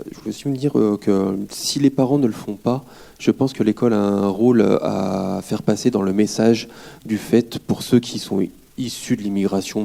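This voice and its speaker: A man from France speaking from the floor at a public debate, polite and earnest, halting with fillers. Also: fairly low pitch, gravelly, mellow. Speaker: audience member at a public debate.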